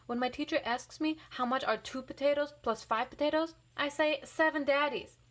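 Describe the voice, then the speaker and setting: A woman's voice: high voice, moderate pace, a bit bright, voice pushed up. A woman voicing an anxious, earnest little girl in a picture book story, fretful and emphatic. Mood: worried.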